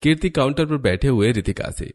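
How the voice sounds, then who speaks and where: A man's voice: mid-range voice, lively intonation, fairly quick. A man narrating a scripted cartoon story.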